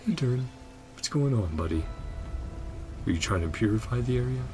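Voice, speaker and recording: A woman's voice: extremely low pitch, lively intonation, fairly quick, music in the background. A woman giving casual reaction commentary on a video game story, speaking in short fragments and murmured asides. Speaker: gaming streamer reacting to a story quest.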